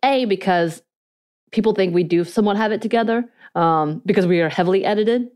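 A woman's voice: medium pitch, a little fast, darkish tone. A woman co-hosting a podcast, speaking candidly with a light blend of Korean and North Georgia mountain accent, analytical and self-questioning. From United States, North Georgia. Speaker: podcast co-host. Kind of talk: casual podcast chat.

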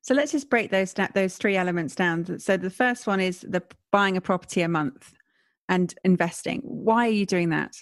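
A woman interviewing a guest, asking calm, reflective questions. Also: on the low side, normal speed, no echo, studio quality.

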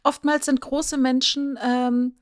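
A woman's voice: high voice, flat delivery, takes it slowly, dry recording, studio quality. A middle-aged woman co-hosting a podcast in casual, conversational chat. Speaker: podcast co-host.

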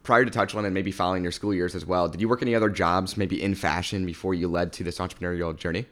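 A male podcast host with a fairly low voice who talks at a quick clip. He opens with a scripted intro, then asks questions, with short encouraging replies as he listens. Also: steady tone, a bit bright. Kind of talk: podcast interview.

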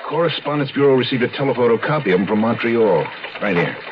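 An actor playing a casual, blunt police detective sidekick with colloquial speech in a 1970s radio drama. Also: male voice, mid-range voice, animated, fast talker, close to an everyday voice. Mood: matter-of-fact. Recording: noisy, muffled 1970s radio recording.

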